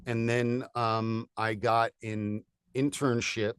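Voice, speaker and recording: A man in his forties from California, a voiceover artist chatting as a guest in an upbeat, energetic way with lots of fillers. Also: medium pitch, takes it slowly, clean tone, no room sound, clean studio sound. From United States (California). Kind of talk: casual interview chat.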